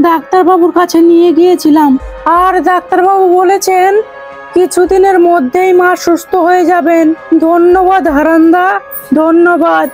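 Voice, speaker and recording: A woman voicing a needy young village man in an animated folk tale, pleading and effusively grateful. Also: very high voice.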